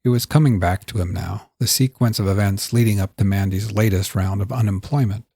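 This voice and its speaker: A man's voice: on the low side, a little fast, creaky, warm tone, husky, no echo, studio quality. A man hosting and narrating a scripted fiction story. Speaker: host and narrator.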